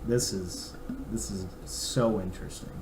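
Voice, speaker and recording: A young man with a raspy throat, a podcast co-host chatting casually and reading a weather forecast aloud with asides. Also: fairly low pitch, steady tone, measured pace. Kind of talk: casual chat. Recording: echoey room, faint noise.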